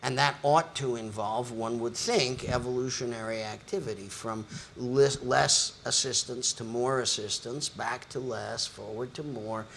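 A man's voice: medium pitch, fairly even intonation, a little slow, gravelly, hardly any pauses, a bit bright, a little hiss or hum. An older man moderating a panel discussion in a lecture hall, speaking in long, articulate, lecturing sentences.

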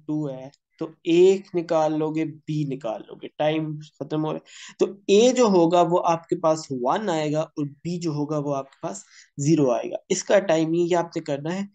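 A man's voice: fairly high pitch, dark tone. A man teaching chemistry over an on-screen worksheet, working through problems step by step. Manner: explains step by step, addresses students as beta, mixes English terms into Hindi. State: slightly sore throat. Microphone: voice-over on screen recording.

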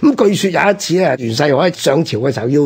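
A male history show host with a Hong Kong Cantonese accent. His voice is strongly raspy and swings widely in pitch as he tells stories conversationally. He moves along quickly. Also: lively intonation. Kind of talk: animated conversational history storytelling.

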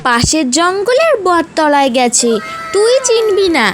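Woman as a high-voiced worried cartoon mother bird. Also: sing-song, a little slow, loud music behind the voice, full character voice. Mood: protective, scolding. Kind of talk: cartoon character dialogue.

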